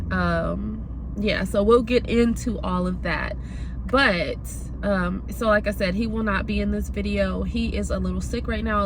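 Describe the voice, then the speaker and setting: A woman's voice: mid-range voice, background noise. A woman with a stuffed-up voice from a cold, chatting casually to her viewers from a parked car with lots of ums. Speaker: mom vlogger. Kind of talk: casual vlog update.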